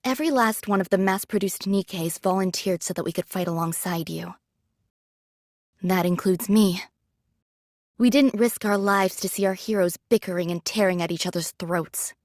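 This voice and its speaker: A plain-spoken female android foot soldier , voiced by an actress, talks in a medium-pitched voice. She is candid and earnest, with reproach mixed into her admiration. The game drama recording is very dry. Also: moderate pace, no echo, close to an everyday voice. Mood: imploring. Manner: frank, earnest, imploring.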